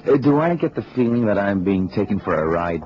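A man's voice: on the low side, lively intonation, fairly quick, background noise, close to an everyday voice. Casting: male actor as detective's chatty, casual colleague with a dry wit, dull-sounding vintage radio drama. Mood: wry, joking. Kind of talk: 1960s-70s radio drama acting. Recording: dull old radio recording, background chatter.

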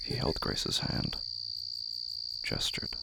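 A narrator reading literary horror fiction in a hushed, brooding, deliberate way, with a gravelly, breathy voice. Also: extremely low pitch, monotone, heavy background noise. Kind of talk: slow literary horror audio drama narration. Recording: music bed under the voice.

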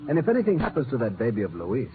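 A man's voice: medium pitch, huge pitch swings, rapid-fire, music in the background. An actor playing an anxious, grave man, formal and emphatic, in 1950s radio drama. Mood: urgent. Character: grave, urgent Latin plantation man, anxious warner. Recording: narrow, noisy old radio recording.

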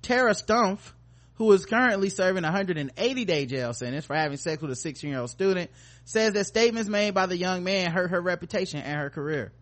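A man's voice: sits high, animated, brisk, a bit bright. A man hosting a podcast in casual, joking banter with informal, profane language. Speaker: podcast host.

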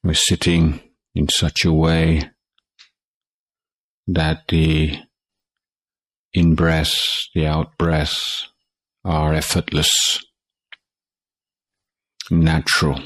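Man, extremely deep pitch, slow pace, calm measured delivery, guided meditation, frequent long pauses. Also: no echo, low-quality recording. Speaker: Buddhist monk meditation teacher.

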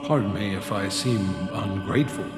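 Young newspaperman for radio drama, male actor: skeptical, wry, lowish voice, echoing hall. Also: moderate pace, close to an everyday voice. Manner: wry, politely probing. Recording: echoing hall with long reverb, music behind.

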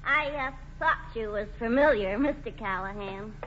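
A woman playing a high, bright small-town New woman. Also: normal speed, close to an everyday voice. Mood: chatty, homespun. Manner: folksy, homely turns of phrase. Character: plain-spoken, folksy small-town New England women. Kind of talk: 1940s radio play acting. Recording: muffled, hissy old radio broadcast.

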